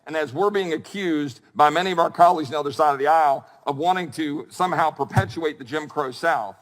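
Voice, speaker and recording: Male lawmaker, rapid formal questioning, reverberant chamber. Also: on the high side.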